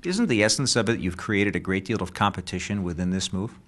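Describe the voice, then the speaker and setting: A man's voice: low voice, animated, a little fast, fairly bright tone. A man with a polished announcer's delivery, conducting a sports interview as a radio broadcaster.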